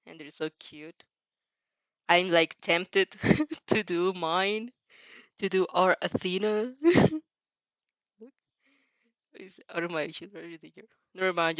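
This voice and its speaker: A woman's voice: on the low side, a bit bright, no room sound. Giggly woman reading aloud, dull dry sound. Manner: giggly, rambling, informal. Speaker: audio fan fiction reader and reactor. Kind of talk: casual reaction chat and expressive story read-aloud.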